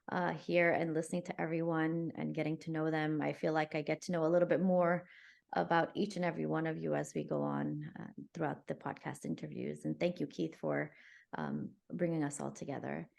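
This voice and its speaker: A woman in her forties, from Afghanistan and raised in New York City, telling her life story as a podcast guest in a warm, reflective way over a video call. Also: low-pitched, little pitch movement, a little fast, gravelly. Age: 40s. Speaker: guest contributor. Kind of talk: conversational personal story.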